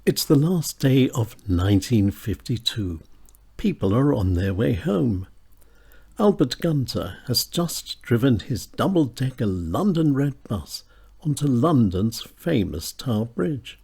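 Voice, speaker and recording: A man reading his own short stories aloud as a radio presenter, with a measured and expressive delivery. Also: creaky, slightly rough. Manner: measured, expressive delivery with wide swings in pitch. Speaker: storyteller and radio show presenter. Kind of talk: scripted short-story reading.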